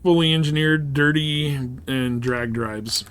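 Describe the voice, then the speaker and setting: A man's voice: on the high side, takes it slowly, fairly bright tone, slight background noise. A man live streaming a game, chatting casually and off the cuff in rambling, jargon-filled talk. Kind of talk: casual gaming stream chat.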